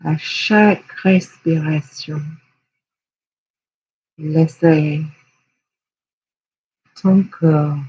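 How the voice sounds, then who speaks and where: A person guiding a yoga nidra relaxation in a hushed, soothing, unhurried way with long pauses. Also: medium pitch, steady tone, slightly rough, lo-fi sound. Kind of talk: guided relaxation meditation.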